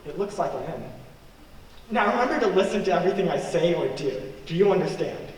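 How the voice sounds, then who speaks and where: An actor playing a commanding, sardonic fugitive on the run in a radio play. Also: male voice, high voice, animated, brisk. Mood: brisk. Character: wry fugitive man on the run, bullying and sardonic. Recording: echoey hall, far from mic, noisy.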